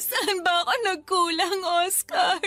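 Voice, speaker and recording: Music plays behind a very high, tearful female voice. She is a grieving wife in a radio drama, blaming herself and pleading. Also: steady tone, takes it slowly, bright, forceful tone, heavily acted. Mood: pleading grief. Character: Alma, grieving, self-blaming wife who lost her babies.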